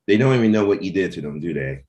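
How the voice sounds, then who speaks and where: A man's voice: sits low, animated, quick pace, mellow, muffled recording. A man reading a stern, scolding comic book character in an accusing manner at a table read. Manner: accusing, reproachful.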